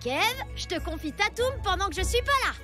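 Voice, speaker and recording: A woman's voice: very high-pitched, animated, fairly quick. A woman voicing a sweet, trusting little boy in a children's cartoon, earnest and eager. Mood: happy, trusting. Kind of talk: animated children's cartoon dialogue. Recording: music behind the voice.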